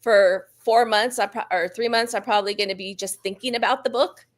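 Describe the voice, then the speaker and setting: A woman's voice: mid-range voice, steady tone, a little fast. A woman author speaking as a guest in a casual book chat over a video call, candid and self-deprecating. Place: live online video call.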